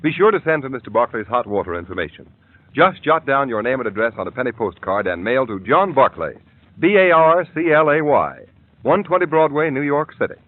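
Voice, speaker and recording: A man announcing on an old radio program, crisp and emphatic. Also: mid-range voice, very animated, brisk. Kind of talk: scripted announcing. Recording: tinny old radio broadcast with music.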